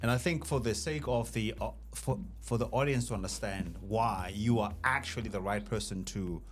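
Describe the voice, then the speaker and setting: A man's voice: lowish voice, normal speed. A man hosting a podcast interview in a casual, conversational style. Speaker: podcast host. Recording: music behind the voice. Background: music.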